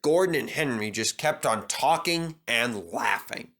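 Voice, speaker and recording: A man reading a children's railway story aloud in an expressive narrating style. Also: medium pitch, a little slow. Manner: expressive, lively. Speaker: storybook reader.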